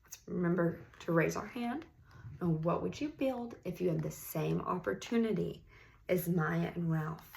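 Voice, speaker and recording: A woman reading a picture book aloud in an encouraging, teacherly manner, pausing to put questions to young listeners. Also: fairly low pitch, gravelly, darkish tone, a little hiss or hum. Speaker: read-aloud host, teacher-like reader.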